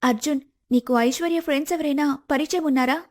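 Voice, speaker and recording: A woman voicing a suspicious, protective young woman in an animated moral story, earnest and probing. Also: high voice, quick pace, lightly acted. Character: suspicious, protective young woman helping her male friend.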